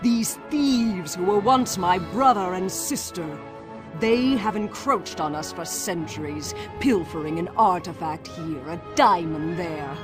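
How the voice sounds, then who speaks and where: A woman's voice: lowish voice, over music, lightly acted. An actress playing an ancient, half-feral huntress queen, regal and vengeful, in a solemn game cutscene monologue. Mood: bitter, defiant. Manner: slow, solemn, commanding oratory.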